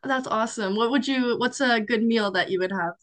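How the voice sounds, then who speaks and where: A woman's voice: on the high side, fairly quick, no room sound. A young woman, a student conducting a class interview, reading a formal opening and then chatting in a friendly way. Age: young adult. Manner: friendly, scripted intro then chatty, laughs often.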